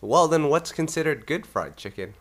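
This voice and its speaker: A man's voice: on the high side, lively intonation, normal speed, fairly bright tone. A man with a nasal, congested voice hosting a casual music podcast. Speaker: podcast host.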